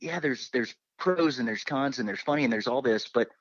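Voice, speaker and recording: A middle-aged man with a mid-pitched voice puts questions in a conversational, roundabout way. The recording is dry and slightly lo-fi, with a dull top end. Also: a little fast, no echo. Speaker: co-host asking questions. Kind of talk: casual interview questioning.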